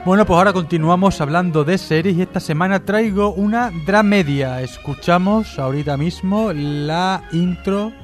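A man's voice: sits high, moderate pace, hardly any pauses. A man hosts an informal radio review. He rambles and jokes in a casual way, with some music playing behind him. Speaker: radio show host. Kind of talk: casual pop culture review.

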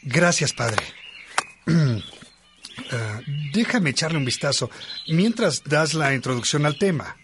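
An actor playing a devout, respectful disciple in a radio drama, with birdsong clearly heard behind him. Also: male voice, fairly high pitch, lively intonation, slow, pauses often, fairly bright tone, soft background music. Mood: earnest, devout. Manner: respectful, earnest. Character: Faustino, devout, eager disciple content with communal life.

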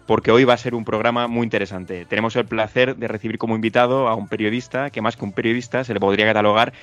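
Spanish man hosting a podcast, smooth voice. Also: on the low side, fairly even intonation, fairly bright tone, no echo. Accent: Spain Spanish. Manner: halting, repeats words, many fillers. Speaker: podcast host. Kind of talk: casual interview.

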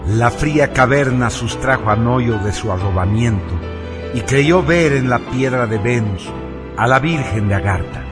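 A man narrates a radio drama with theatrical solemnity. He takes his time, swinging widely in pitch over a bed of music. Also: lively intonation, unhurried.

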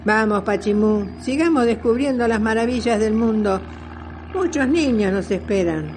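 A woman's voice: on the high side, normal speed, background noise, close to an everyday voice. A woman playing a spirited, kindly old great-grandmother and retired aviatrix in a children's radio play, with an Argentine accent and exclaiming delivery. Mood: delighted wonder. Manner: animated, exclaiming. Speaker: student voice actor.

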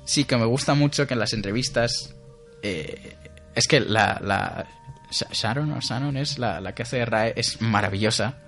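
A man's voice: mid-range voice, a little slow, few pauses, a bit bright, soft background music. A young man, co-hosting a casual podcast chat, rambling with many restarts. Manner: rambling, with frequent restarts and laughter. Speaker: podcast co-host.

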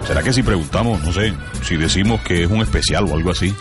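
Guy doing a chummy laid back costeño colombian drinking buddy, kinda deep, radio play with music on. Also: fast, lightly acted. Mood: questioning. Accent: Colombian Caribbean. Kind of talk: comic radio fiction acting. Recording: music behind the voice.

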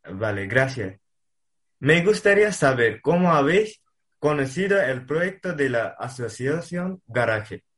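A man's voice: medium pitch, very animated, unhurried, fairly bright tone. A man working as a radio reporter, asking his guests questions carefully. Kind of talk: interviewing guests.

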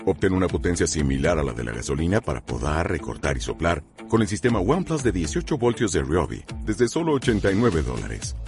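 Male commercial announcer, deep and animated, salesy pitch, music bed. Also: a little fast. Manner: punchy salesy delivery. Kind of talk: scripted commercial read.

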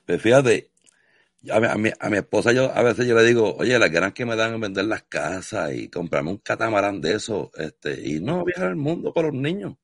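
A man's voice: lowish voice, animated, normal speed, slightly rough, no room sound, clean studio sound. A man from Puerto Rico with a Puerto Rican accent, interviewing a guest in a casual video chat with enthusiastic, effusive praise. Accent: Puerto Rican Spanish.

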